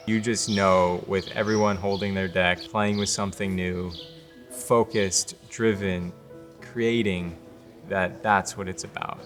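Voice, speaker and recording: Low-voiced enthusiastic man. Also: a bit bright. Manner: enthusiastic, reflective. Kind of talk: documentary interview. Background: music.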